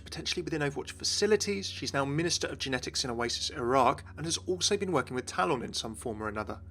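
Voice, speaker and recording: Guy doing game lore narration, talks really quick, keen, music under him. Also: fairly high pitch, a bit bright. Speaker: video game lore presenter. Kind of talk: enthusiastic scripted video narration.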